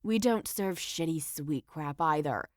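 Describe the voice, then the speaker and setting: A woman's voice: low voice, a little slow, dry recording, studio quality. A woman playing a stern, matter-of-fact innkeeper in an audio play, blunt and bossy. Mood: curt, sarcastic. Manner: blunt, scolding. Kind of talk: audio play character acting.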